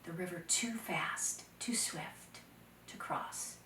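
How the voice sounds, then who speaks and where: Expressive woman storyteller in a roomy space. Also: lowish voice, noisy background. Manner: expressive, deliberate, drops to hushed phrases. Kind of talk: dramatic folktale storytelling for children. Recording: roomy echo, distant microphone.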